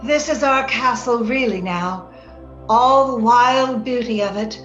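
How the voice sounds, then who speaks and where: A woman acts out a dying young romantic heroine in a radio play. She speaks slowly and wistfully, with tender, dreamy longing. The recording is roomy and a bit dull, with faint music behind. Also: on the high side, fairly bright tone. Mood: dreamy, loving. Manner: wistful, romantic, theatrical delivery. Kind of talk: old-time radio drama acting.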